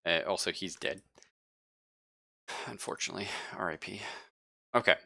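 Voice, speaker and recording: A man's voice: low-pitched, moderate pace, gravelly, sharp tone, no room sound. A man casually running a live tabletop roleplaying game as game master, with slangy, profane narration.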